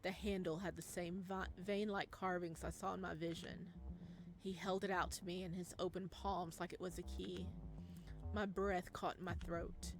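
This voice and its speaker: Expressive woman narrating a visual novel. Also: on the low side, moderate pace, music in the background. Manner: expressive, theatrical reading with stresses and hushed passages. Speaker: gamer reading a visual novel aloud.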